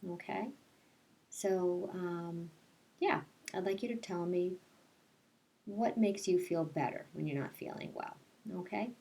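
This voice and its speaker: Slow-paced woman reading to young children. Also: fairly low pitch, warm tone. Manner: simple, explanatory talk for young children. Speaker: kindergarten teacher reading story time. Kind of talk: picture-book read-aloud with casual asides to children.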